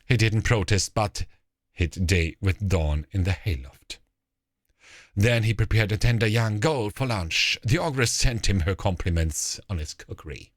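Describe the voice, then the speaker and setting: Male fairy tale narrator, slow and expressive, recorded dry and close. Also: lowish voice, no room sound. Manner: deliberate, expressive phrasing. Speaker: storyteller.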